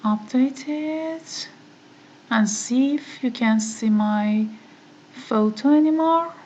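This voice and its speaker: A woman whose first language is Farsi walks through a software tutorial at an unhurried, deliberate pace, pausing often on "uh". Her voice comes through a somewhat dull computer microphone with a faint hiss behind it. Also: high voice. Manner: slow, methodical, with frequent "uh" pauses. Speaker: online course instructor. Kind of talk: screen-recorded software tutorial.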